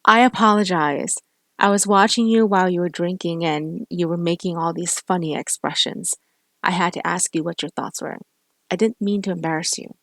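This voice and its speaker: A woman's voice: fairly low pitch, normal speed, a bit bright, dry recording. A woman voicing a friendly, teasing young American man who owns a cafe.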